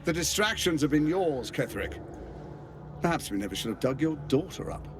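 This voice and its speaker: Casting: smooth scheming game villain, taunting and theatrical, very animated pitch, music underneath. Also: male voice, brisk. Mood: mocking. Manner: sardonic, taunting, grandiose. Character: suave scheming male villain lord, mocking and theatrical. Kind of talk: video game villain acting. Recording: loud music behind the voice.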